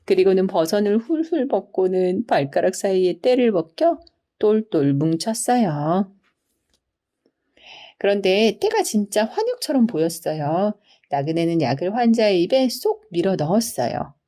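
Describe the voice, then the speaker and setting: Mellow, expressive woman telling children's folktales. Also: medium pitch, measured pace. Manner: storyteller's expressive, polite explaining delivery. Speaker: storybook narrator. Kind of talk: scripted bedtime folktale narration for children.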